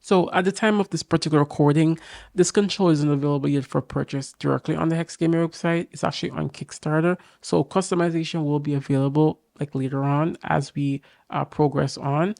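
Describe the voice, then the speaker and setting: A young man with a raspy voice from a cold, casually and eagerly reviewing a game controller to camera in a small home studio. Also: fairly high pitch, steady tone, a little fast, a little mellow, no room sound. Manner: enthusiastic, talks straight to camera, many fillers. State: getting over a cold. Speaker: tech reviewer. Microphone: boom mic on arm.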